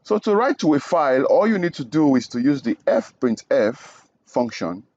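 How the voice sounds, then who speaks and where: A man's voice: mid-range voice, big pitch swings, a little slow, a little mellow, muffled recording. A young man from Nigeria teaching programming in a screen-recorded tutorial, explaining each step and checking in with "okay?". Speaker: programming instructor.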